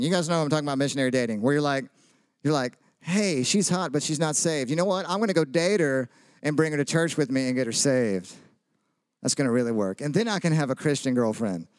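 Man in his thirties, higher voice, joking sermon, dry close sound. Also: no echo. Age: 30s. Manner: chatty, joking, asks the audience questions. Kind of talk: casual sermon with personal stories.

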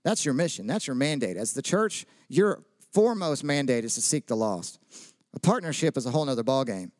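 A man's voice: medium pitch, sing-song, brisk, darkish tone, no room sound, clean studio sound. A man, preaching a casual sermon full of jokes, questions to the audience and personal stories. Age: 30s. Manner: chatty, joking, asks the audience questions.

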